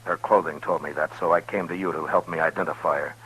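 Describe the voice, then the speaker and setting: An actor playing a grave, weary frontier lawman in 1950s radio western style, speaking with restraint and deliberate pauses. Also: a bass voice, steady tone, sharp tone, husky, heavily acted, rough recording. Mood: grave, earnest. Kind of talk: 1950s radio western acting with first-person narration. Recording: 1950s radio broadcast with music.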